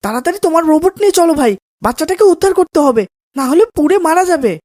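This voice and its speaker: A woman's voice: very high-pitched, lively intonation, fast, darkish tone, no room sound, studio quality. A woman voicing an eager, curious boy in a children's cartoon, speaking in an earnest, questioning way. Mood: urgent.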